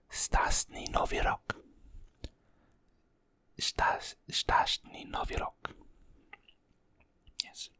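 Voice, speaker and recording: Asmr whisper, slow and soft, keeps repeating words, sorta sorry about pronouncing stuff. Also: dry recording. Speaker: ASMR creator.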